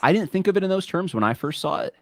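A man giving measured, steady spoken commentary in a played clip. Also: high voice, no room sound.

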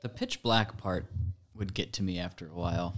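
A man's voice: low voice, normal speed, fairly bright tone. A man chiming in casually during a conversation with offhand remarks.

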